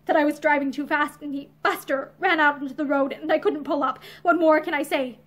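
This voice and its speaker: Woman doing a tormented guy in a storybook, high voice, strained and halting, touchy temper. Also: steady tone, close to an everyday voice. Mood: agitated, hoarse. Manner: agitated, strained, halting delivery. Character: tormented, guilt-ridden, constrained man, quick to anger.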